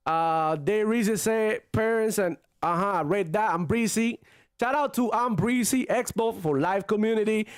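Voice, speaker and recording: A man's voice: high-pitched, no room sound, clean studio sound. A young man from Cuba hosting a casual gaming livestream, chatting loosely with slang and Spanish phrases while reading viewer comments. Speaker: gaming channel host reading chat.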